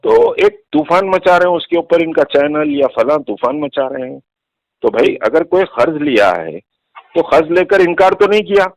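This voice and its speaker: A man's voice: fairly high pitch, animated, moderate pace, no room sound. Insistent Hyderabadi man on a phone call. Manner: insistent, repeats phrases for emphasis. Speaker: political activist being questioned. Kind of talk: defensive explanation in a phone conversation. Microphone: telephone.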